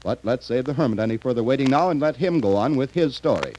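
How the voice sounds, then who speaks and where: Male announcer, average pitch, scripted 1930s radio commercial delivery, slightly noisy old recording. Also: animated, quick pace, mellow.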